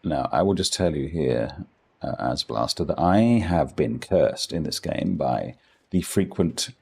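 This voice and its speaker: Deep gravelly posh English man. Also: expressive, a little slow, darkish tone. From England. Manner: rambling, self-deprecating, dry humour. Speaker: gaming streamer. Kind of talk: casual gameplay commentary chatting with viewers.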